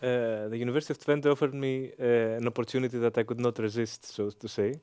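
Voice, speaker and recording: A man in his thirties with a Greek accent, answering interview questions with many hesitant pauses and fillers. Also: medium pitch, flat delivery, dark tone, clean tone, no room sound. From Greece. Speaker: interview guest, engineering professor.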